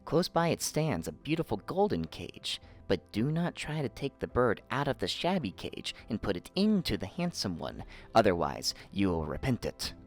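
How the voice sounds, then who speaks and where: Man as cunning fox, storybook helper, instructive, commanding, animated intonation, faint background music. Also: medium pitch, normal speed, hardly any pauses. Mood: instructing. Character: cunning male fox, wise advice-giving helper. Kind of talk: storybook character voice.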